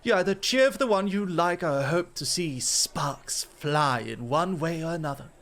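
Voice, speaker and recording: Man acting flirty, higher-voiced male club host. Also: animated, normal speed, a bit bright. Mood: teasing, confiding. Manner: teasing, theatrically polite, suggestive. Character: flirty, teasing male club host rival, playfully feisty. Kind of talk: flirty ASMR roleplay acting.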